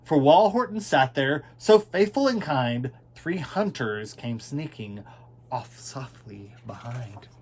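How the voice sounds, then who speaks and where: A man narrates a children's storybook in rhyming verse. His medium-pitched voice swoops theatrically, and he takes his time, dwelling on key words. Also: expressive, slow pace, darkish tone, breathy edge. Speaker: storybook reader.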